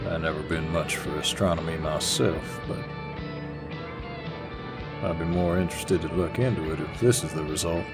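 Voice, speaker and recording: Guy playing a laid back southern redneck boyfriend, real deep voice, slow gentlemanly drawl, teasing audio rp w music behind. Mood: tender, teasing. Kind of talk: intimate boyfriend audio roleplay, acted to the listener.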